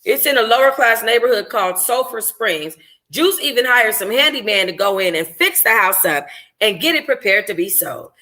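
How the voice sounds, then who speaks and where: A woman reading a first-person novel aloud informally as a book club host, in an expressive, conversational, slangy way. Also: on the high side, moderate pace.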